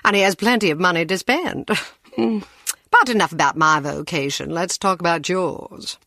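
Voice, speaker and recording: An actress playing a haughty, coolly cruel aristocratic villainess with a condescending, theatrical drawl in a video game. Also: female voice, on the low side, moderate pace, sharp tone, no room sound, studio quality. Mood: smug.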